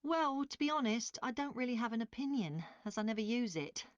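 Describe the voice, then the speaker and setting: A woman's voice: on the high side, animated, brisk, no echo, close to an everyday voice. A woman playing a polite train passenger answering a survey in a scripted dialogue. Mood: hesitant, agreeable. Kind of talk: scripted listening-test dialogue.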